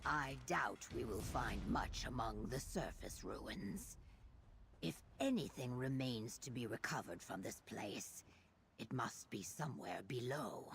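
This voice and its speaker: Fantasy game companion: exceptionally low, fry-laden female voice, scholarly, solemn and formal, faint ambience behind. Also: lively intonation, slight background noise, exaggerated voice. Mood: grave, purposeful. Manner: measured, formal. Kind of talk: scripted game dialogue.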